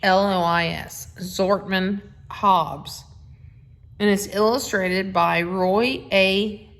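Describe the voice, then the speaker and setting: Folksy, slow-paced middle-aged woman. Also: mid-range voice. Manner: folksy, effusive, rambling with asides. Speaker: host of a family social media video. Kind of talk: casual, chatty introduction to a bedtime story reading. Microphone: handheld phone.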